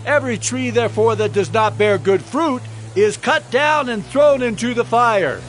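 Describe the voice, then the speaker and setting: A man's voice: extremely high pitch, lively intonation, normal speed, a bit bright, faint music behind. A man playing a stern, fiery prophet in a dramatized audio Bible, admonishing in a declamatory way. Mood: stern, condemning. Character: fiery wilderness prophet, stern male preacher of repentance.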